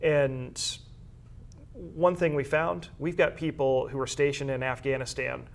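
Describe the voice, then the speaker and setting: A man with an average-pitched voice talks as a guest in an interview. He explains in a conversational way, often circling back to rephrase what he has just said. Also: flat delivery, measured pace, gravelly. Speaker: guest, high school athletics media coordinator and broadcaster.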